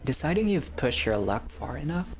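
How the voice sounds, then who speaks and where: A man's voice: a little high, very animated, moderate pace. A man narrating a visual novel playthrough, reading the text aloud and adding casual commentary as a gamer.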